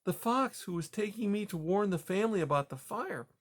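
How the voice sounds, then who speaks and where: A man doing a soft old grandmother mouse. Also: sits high, animated, fairly quick, voice pushed up. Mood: gentle. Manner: soft, gentle, matter-of-fact. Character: calm elderly grandmother mouse.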